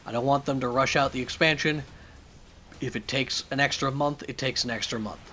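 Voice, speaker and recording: A man hosting a channel, recapping game news with opinions and many fillers, his voice raspy and hoarse from a cough. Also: mid-range voice, a little fast, bright, forceful tone, a little hiss or hum. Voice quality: raspy, strained. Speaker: YouTube channel host.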